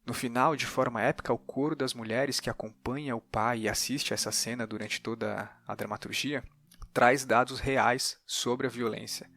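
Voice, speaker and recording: A man's voice: mid-range voice, steady tone, moderate pace, a bit bright, dry recording. Male podcast host, Brazilian accent, mid-range voice, explanatory narration and conversational interviewing.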